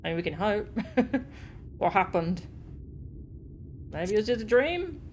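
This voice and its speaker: A woman's voice: mid-range voice, very animated, a little slow, slight background noise. A woman game streamer giving casual live reactions as she plays.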